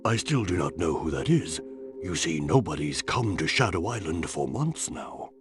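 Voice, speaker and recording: A man's voice: low voice, big pitch swings, moderate pace. A man acting a solemn, cryptic witch doctor and guardian in a video game, with a grave, portentous delivery. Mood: grave, foreboding. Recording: game music behind.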